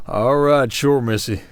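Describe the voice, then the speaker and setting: A man's voice: mid-range voice, dry recording, clean studio sound. A man playing a folksy, hesitant werewolf sheriff with a Southern Old West drawl in an ASMR roleplay. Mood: teasing. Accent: American Southern, Old West drawl. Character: folksy werewolf sheriff of an Old West town, long-lived but young-faced. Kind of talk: roleplay audio acting, ASMR. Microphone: close studio mic, dry.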